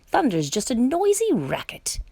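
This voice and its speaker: Woman as storybook duck, polite, noisy, raised pitch, swooping intonation. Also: huge pitch swings, measured pace, gravelly, warm tone, slightly rough, no room sound, full character voice. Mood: dismissive. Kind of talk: children's storybook character voice.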